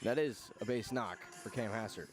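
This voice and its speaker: Male sportscaster for live baseball radio, mid-range voice, clear music bed underneath. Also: lowish voice, moderate pace. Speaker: radio play-by-play announcer. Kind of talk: live baseball play-by-play commentary. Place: outdoors at a baseball park.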